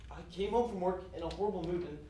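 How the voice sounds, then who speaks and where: A man's voice: sits high, fast, dark tone, lightly acted. A man acting a defensive, guilt-ridden husband in a stage play, recorded from afar in an echoey hall. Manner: defensive, protesting. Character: Jack, defensive, remorseful abusive husband. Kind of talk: student one-act stage play acting. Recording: very echoey hall, far mic, faint noise.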